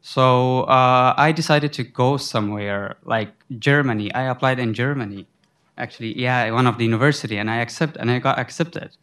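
A man's voice: mid-range voice, moderate pace, a bit bright, clear, resonant tone. A young man from Afghanistan, a student on a panel, telling a long rambling story full of restarts. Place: college library.

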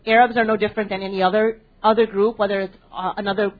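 A young American woman speaking to a student audience at a panel event, asking them questions and pausing for ums and restarts. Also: medium pitch, level tone. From United States. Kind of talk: public talk with audience interaction. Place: library event space. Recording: tinny phone-like recording.

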